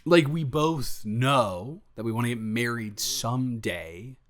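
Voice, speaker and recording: A young man playing an affectionate, excitable, goofy boyfriend in an improvised roleplay audio, chatting casually and effusively in rambling, slangy, stumbling speech. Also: mid-range voice, slow pace, natural voice. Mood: flustered, affectionate.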